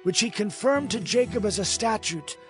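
A man reads scripture in a dramatized audio production, his voice sitting high and moving freely up and down. The delivery is measured and expressive. Gentle music plays underneath. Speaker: scripture narrator. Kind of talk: dramatized Bible reading. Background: soft music.

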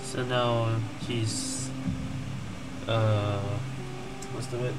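A woman's voice: extremely low pitch, level tone, extremely slow, heavy background noise. A woman giving casual gameplay commentary, hesitantly thinking aloud as she plays. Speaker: game streamer. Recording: loud game music behind voice. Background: loud game music.

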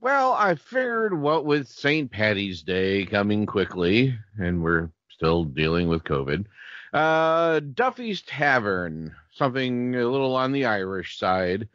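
Man, podcast co-host, animated intonation, slightly dull recording. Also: medium pitch, slow, no room sound. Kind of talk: podcast presenting.

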